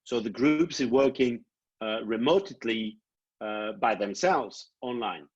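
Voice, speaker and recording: A middle-aged Italian man with an Italian accent, answering prospective students' questions on a video call, halting and repeating words as he explains. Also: medium pitch, lively intonation, slightly rough. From Italy. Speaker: programme director. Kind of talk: online Q&A answers. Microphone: laptop webcam video call. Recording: dull lo-fi video call audio.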